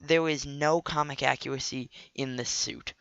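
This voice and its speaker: A man with a cold and a nasal voice, hosting a scripted comic commentary video with deadpan, sarcastic asides. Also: mid-range voice, normal speed, clean tone, no echo, lo-fi sound. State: sick with a cold. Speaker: video host.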